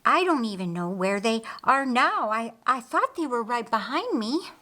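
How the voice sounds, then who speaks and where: A woman's voice: a little high, sing-song, normal speed, pushed and bright, pitched above the performer's own voice. A woman narrator voicing a distraught, breathless woman who stammers and repeats her words in an audiobook story. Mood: breathless, alarmed. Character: breathless, distraught young woman pleading urgently, then furious.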